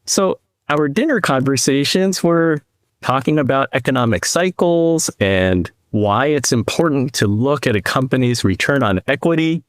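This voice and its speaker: Measured middle-aged man, dry close sound. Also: mid-range voice, animated, no room sound. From New Jersey, US East Coast. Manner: measured, reflective, speaks in long explanatory runs. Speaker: podcast guest, financial advisor. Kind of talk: conversational interview storytelling.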